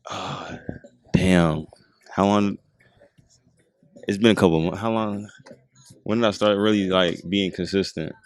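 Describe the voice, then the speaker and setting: A man in his twenties with a deep voice, chatting casually as a podcast guest at an outdoor skate park, laid-back and rambling with lots of fillers. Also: fairly even intonation, unhurried, pauses often, dry recording. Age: 20s. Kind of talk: casual chat. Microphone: handheld mic.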